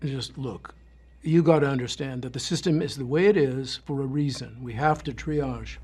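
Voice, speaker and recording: An actor playing a gruff, skeptical bureau boss in an audio drama, with a husky voice and brusque, authoritative manner. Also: male voice, medium pitch, moderate pace, darkish tone, lightly acted. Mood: grudging.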